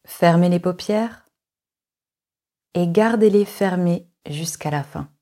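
A woman leading a guided relaxation meditation as a yoga nidra instructor, giving calm, measured instructions with long pauses. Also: on the low side.

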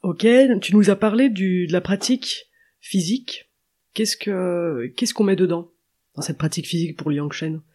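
Husky, higher-pitched male host. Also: moderate pace, darkish tone. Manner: reflective, paraphrasing the guest. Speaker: podcast host. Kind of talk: conversational interview.

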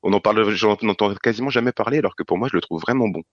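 Hesitant male guest, low voice, fast delivery, dull lo-fi audio. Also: dry recording. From France. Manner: hesitant, many fillers and restarts. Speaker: podcast guest. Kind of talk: casual chat about board games.

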